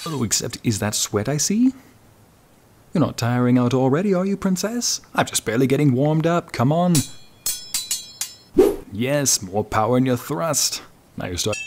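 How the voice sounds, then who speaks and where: A man's voice: medium pitch, noisy background, lightly acted. A man acting in an audio roleplay as an uptight, snooty combat instructor who banters bossily and flirtatiously with a royal pupil. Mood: playful, energetic. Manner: mildly bossy, bantering, flirtatious.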